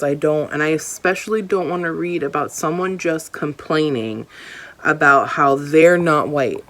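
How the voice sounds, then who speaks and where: A young woman reviewing a book on her vlog in a casual, emphatic and opinionated way, often repeating herself for stress. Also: sits low. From Hawaii. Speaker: book vlogger.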